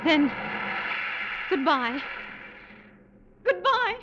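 A timid young woman of an old radio play, speaks in a high, wistful voice with hesitant pauses. She sounds lonely and fearful. The broadcast is muffled, with clear noise over it. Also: sing-song, full character voice. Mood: frightened. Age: young adult. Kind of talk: old-time radio drama acting.

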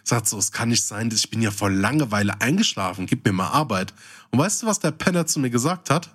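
Jokey man with a medium-pitched voice. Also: animated, a little fast, fairly bright tone, husky. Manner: informal, slangy, jokey. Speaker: podcast host. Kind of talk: casual comedic chat and anecdotes.

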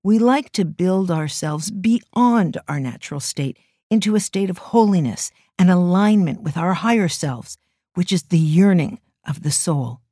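Warm, earnest woman podcast host. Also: fairly low pitch, expressive, unhurried, no echo, clean studio sound. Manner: earnest, emphatic delivery. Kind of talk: reflective spiritual talk.

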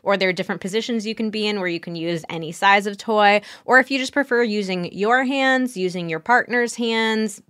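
A woman's voice: medium pitch, a little fast, hardly any pauses, fairly bright tone, smooth, dry recording, clean studio sound. A woman speaking as a therapist guest on a podcast, giving candid, conversational advice with casual examples.

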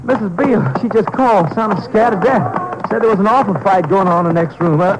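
A man's voice: high-pitched, a little fast, natural voice. An actor playing a nervous hotel desk clerk who stammers as he leads the sheriff, in an old radio drama. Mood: anxious. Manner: jittery, stammering. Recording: muffled old radio recording with music.

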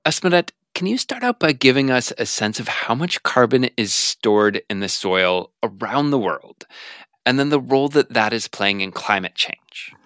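A man's voice: medium pitch, big pitch swings, normal speed, fairly bright tone, dry recording, studio quality. A man with an American accent hosting a science podcast, moving between scripted narration and interview questions. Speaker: podcast host.

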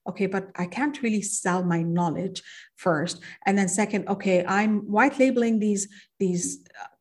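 A woman's voice: mid-range voice, moderate pace. A woman from Egypt who grew up in Kuwait, hosting a podcast interview and sharing her own story in a reflective, personal way. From Egypt, raised in Kuwait. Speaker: podcast host. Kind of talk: conversational interview.

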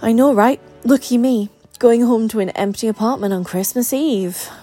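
A woman's voice: on the high side, moderate pace, warm tone, soft background music, pitched above the performer's own voice, lightly acted. A woman voicing a blunt, teasing, bossy female co-worker in an audiobook story, speaking frankly and emphatically. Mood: wry.

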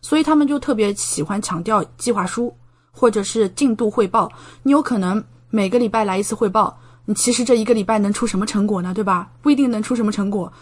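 A woman's voice: mid-range voice, fast. A young woman chatting casually as a podcast guest, explaining things with plenty of concrete examples. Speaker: podcast guest, medical PhD student studying in Japan.

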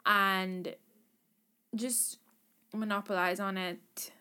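A woman co-hosting a podcast, talking in a relaxed, chatty way. Her voice is bright and forceful at a middle pitch. She is animated and encouraging, and she laughs often. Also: drawn-out words, clean tone. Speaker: podcast co-host. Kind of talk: casual chat.

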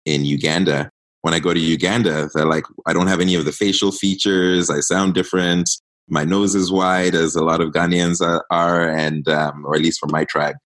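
A man in his thirties who grew up in East Africa, a guest telling stories in a relaxed interview with many fillers. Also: sits low, moderate pace. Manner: reflective storytelling, many fillers. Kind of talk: casual interview talk.

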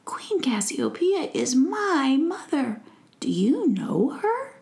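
Woman voicing an earnest, formal fairy prince, lively and bright. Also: high voice, takes it slowly, voice pushed up, heavily acted. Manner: courteous and formal. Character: polite earnest young fairy prince with wings.

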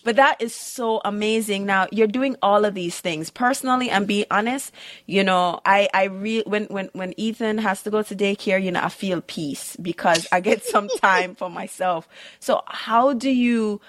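A woman's voice: mid-range voice, normal speed, a bit bright, no room sound. Bubbly enthusiastic woman podcast host. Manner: bubbly, enthusiastic, many "you know"s. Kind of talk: upbeat podcast hosting and interviewing.

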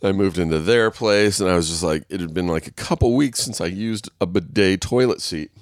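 A man with a very low voice, stuffy and nasal from congestion, recorded dry and close. He rambles through a casual solo podcast, swearing freely and laughing at his own stories. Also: brisk, no echo. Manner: rambling, profane, self-mocking, laughs often. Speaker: podcast host. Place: waiting on a set, recording alone.

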